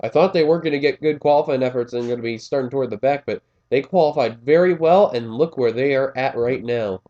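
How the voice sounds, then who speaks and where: A man calls a race in a casual play-by-play, his voice a little scratchy and pitched in the middle range. He rambles, drops fillers and corrects himself as he goes, recorded on a muffled, lo-fi home mic. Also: fast, dark tone. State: slightly scratchy voice. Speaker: race commentator. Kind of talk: play-by-play commentary of a simulated race.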